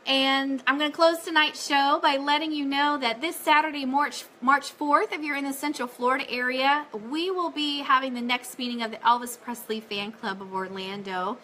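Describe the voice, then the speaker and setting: A woman's voice: high voice, fairly even intonation, normal speed, few pauses, pushed and bright, slight background noise. A woman hosting a casual fan live stream, chatting and making announcements in an upbeat, enthusiastic way.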